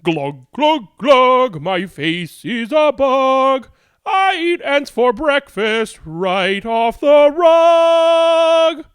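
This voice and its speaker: Loud, high, cartoonish nonsense voice. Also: male voice, very animated, very slow, clear, resonant tone, no echo, exaggerated voice. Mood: silly singing. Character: goofy nonsense singer belting absurd storybook words. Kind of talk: comic children's storybook acting.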